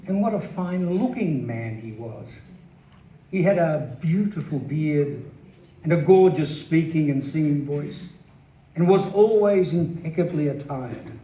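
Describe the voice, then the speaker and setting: A man's voice: fairly high pitch, warm tone. A man giving a dramatic reading of a scripted reminiscence at a live event. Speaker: reader at a literary stage event. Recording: echoing, dull, noisy live room recording.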